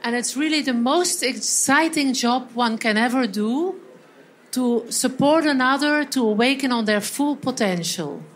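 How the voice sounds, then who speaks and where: High-voiced Belgian woman presenting a workshop. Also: a little slow, a little hiss or hum. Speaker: seminar trainer. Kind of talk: live workshop talk. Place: event hall.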